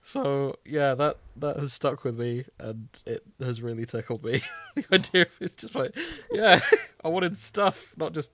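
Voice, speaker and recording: A man's voice: mid-range voice, expressive, measured pace, few pauses, fairly bright tone. A British man hosting a casual podcast, chatty and a little self-deprecating. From Britain. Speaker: podcast host.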